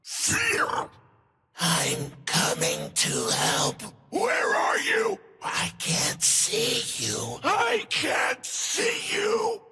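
Shrieking demon scarecrow, wildly high-pitched. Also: male voice, huge pitch swings, bright, forceful tone, full character voice. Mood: desperate, haunting. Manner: slow, broken, theatrical outbursts. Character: monstrous demon scarecrow mimicking terrified victims' cries. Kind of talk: video game character voice lines. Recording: long cavernous reverb.

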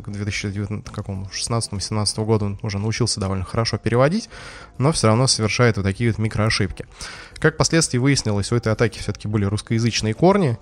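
Low gravelly guy on a tech podcast, lots of uh and um, telling a story. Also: darkish tone. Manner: hesitant, many fillers, storytelling. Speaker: security expert guest. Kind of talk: podcast explanation.

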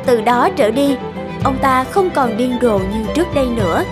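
A woman giving scripted storybook narration for an animated tale. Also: on the high side, moderate pace, over music.

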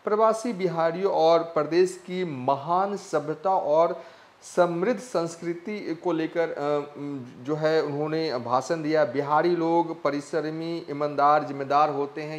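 A young man presenting a news report to camera with a lapel mic in a small studio, pausing often on "uh" fillers. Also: a little high, fairly even intonation. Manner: halting, many "uh" fillers. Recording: roomy echo.

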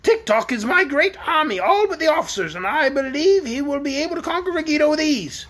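A woman's voice: high voice, expressive, a little fast, a bit bright, pitched above the performer's own voice. A woman voicing a haughty, irritable queen in a storybook reading. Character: haughty self-important queen.

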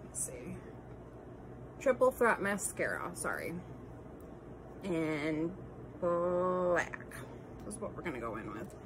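A woman's voice: fairly low pitch, sing-song, slow, long pauses, slight background noise, poor audio. Chatty, slightly nasal woman doing makeup. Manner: chatty, rambling, many ums, apologetic asides. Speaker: beauty vlogger. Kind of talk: casual makeup tutorial. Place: bedroom at home, indoors. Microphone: phone camera held close.

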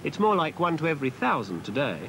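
Young male presenter, animated delivery, backing music, slightly higher voice. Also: fast talker, noisy background. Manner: clear, explanatory. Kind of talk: scripted documentary narration. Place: outdoors by a cathedral. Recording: narration over music.

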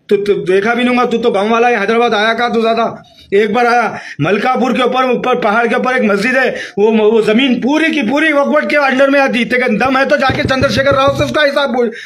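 Hyderabadi guy in his 50s, really high voice, angry scolding rant into his phone, keeps repeating himself. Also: normal speed, fairly bright tone. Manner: agitated, scolding, repetitive. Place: small room indoors.

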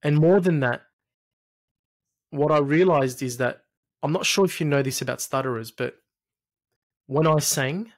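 Gravelly man telling his story haltingly. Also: on the high side. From Egypt and Australia. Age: late 30s. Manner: reflective, halting with repeated phrases. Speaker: podcast guest. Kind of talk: personal storytelling in conversation. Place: small home studio, indoors. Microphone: close podcast mic on arm.

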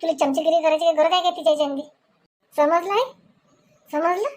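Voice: very high voice, a little fast. A performer voicing a stern, domineering village husband and father-in-law in a comedy cartoon, with a Varhadi Marathi accent, repeating questions as demands. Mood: scolding. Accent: Varhadi Marathi from Vidarbha.

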